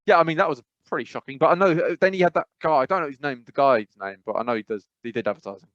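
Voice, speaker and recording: A man's voice: a little high, very fast, fairly bright tone, no room sound. A man co-hosting a casual podcast, rambling with lots of fillers and restarts. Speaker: podcast co-host. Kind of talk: casual chat. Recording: dry, slightly dull sound.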